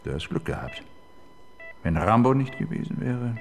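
An actor in a radio crime play voicing the detective's teasing, playful partner. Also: male voice, medium pitch, sing-song, moderate pace, a little hiss or hum. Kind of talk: radio crime drama acting. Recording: radio play with faint music.

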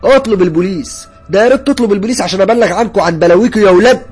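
A man with an Egyptian accent voicing a sleepless, desperate, hot-tempered doctor in a horror story, agitated and shouting. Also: fairly high pitch, very fast, husky, soft background music, voice pushed up. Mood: angry threats.

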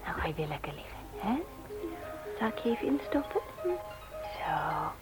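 An actress playing a caring, gently nagging wife and mother in a Dutch radio drama, coaxing and firm. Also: low voice, big pitch swings, normal speed, music in the background, poor audio. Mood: soothing. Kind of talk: Dutch radio play acting, domestic scene.

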